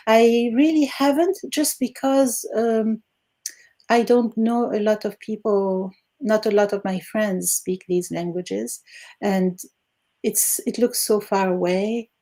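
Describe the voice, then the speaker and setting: A woman in her sixties from Algeria, a native Arabic speaker, talks through her answers with gravel in her voice and a mellow, darker tone. She laughs often and fills pauses with ums and uhs. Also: mid-range voice, fairly even intonation. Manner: warm, laughs often, fillers. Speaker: guest, retired Arabic teacher. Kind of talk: casual interview answers.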